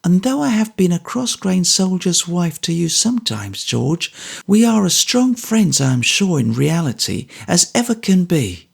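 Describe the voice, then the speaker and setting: A man voicing a warm, quick-witted soldier's wife who speaks with motherly fondness. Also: sits high, sing-song, creaky, husky, pitched above the performer's own voice, exaggerated voice. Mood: fond. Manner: quick, motherly, fond exclamations. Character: older woman, warm, brisk soldier's wife.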